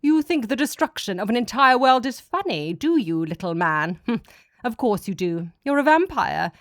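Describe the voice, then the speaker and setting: A woman's voice: fairly high pitch, big pitch swings, moderate pace, no room sound, pitched above the performer's own voice. A menacing false god of an alien people, acted out by a woman for an audiobook. He is a cloaked tyrant who sneers and commands with open contempt. The sound is dry, with very little room. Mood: sneering. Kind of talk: dramatic audiobook character voice.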